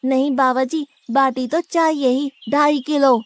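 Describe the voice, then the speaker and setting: A woman's voice: sits high, steady tone, measured pace. A woman voicing a naive, blunt, stubborn young village lad with a big appetite in a moral story. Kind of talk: animated moral story dialogue in Hindi.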